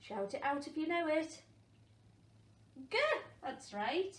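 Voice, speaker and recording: Echoey room, faint noise, female primary teacher, encouraging, question-and-pause phonics delivery for little ones. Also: high voice, lively intonation. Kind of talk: interactive phonics lesson for young children.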